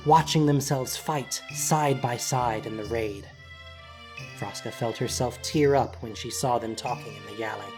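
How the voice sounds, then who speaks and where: Scripted story narrator over music. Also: medium pitch. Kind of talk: scripted audio drama narration.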